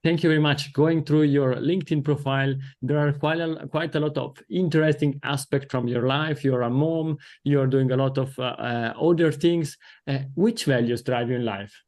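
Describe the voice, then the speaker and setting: A man's voice: a little high, steady tone, moderate pace, few pauses, a little mellow. A man with an Italian accent, a native Italian speaker, hosting a podcast interview in careful, formal English. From Italy. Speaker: podcast host.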